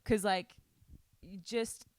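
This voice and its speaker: A woman's voice: medium pitch, fairly even intonation, slow pace. A young woman co-hosting a podcast, chatting casually, laughing a lot and chiming in. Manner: giggly, agreeable, chimes in. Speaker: podcast co-host. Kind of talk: casual chat.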